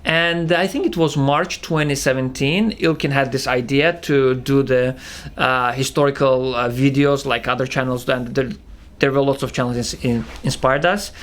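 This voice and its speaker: A young man with a thick Russian accent, casually answering viewer questions as a channel co-host, halting with many fillers and restarts. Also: mid-range voice, steady tone, fairly bright tone. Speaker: channel co-founder hosting Q&A. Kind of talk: casual Q&A chat.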